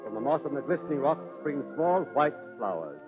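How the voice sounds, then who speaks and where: A man's voice: fairly high pitch, big pitch swings, a little fast. A man narrating in theatrical, declamatory 1930s radio storytelling style. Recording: tinny 1930s radio, music behind.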